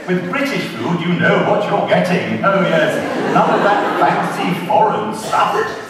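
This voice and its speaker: A man's voice: high voice, big pitch swings, measured pace, heavy background noise. A man playing a fussy, pompous diner who wants only British food, in an amateur stage sketch recorded from far off in an echoey hall. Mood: indignant. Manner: blustering, indignant, pompous. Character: fussy patriotic English diner, middle-aged man. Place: large hall stage with audience. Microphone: far camera.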